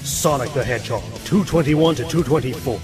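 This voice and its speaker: A man's voice: mid-range voice, a little fast. A man delivering scripted, dramatic narration as the narrator. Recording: music behind the voice.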